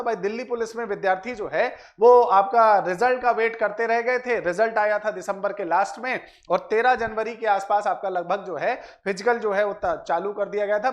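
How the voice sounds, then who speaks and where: A man presents exam analysis like a coach, addressing viewers directly with frequent filler phrases and check tags. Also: sits high. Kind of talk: explanatory exam analysis for students.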